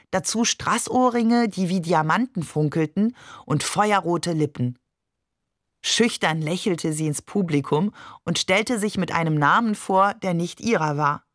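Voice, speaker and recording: A woman's voice: fairly low pitch, no echo, studio quality. A woman reading a literary story as scripted audiobook narration.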